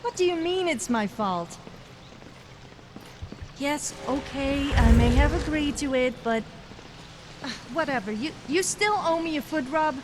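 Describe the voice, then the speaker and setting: High-pitched sassy adventuring heroine. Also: female voice, animated, a little slow, long pauses. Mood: indignant, teasing. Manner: teasing, cheeky. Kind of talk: fantasy video game character acting. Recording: faint background game noise.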